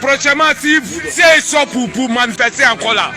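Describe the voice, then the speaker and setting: An Ivorian-accented man with a very high-pitched voice talks in an insistent, confrontational street register. The clip is informal and agitated, with clear music and crowd noise behind him. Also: fairly quick. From Ivory Coast.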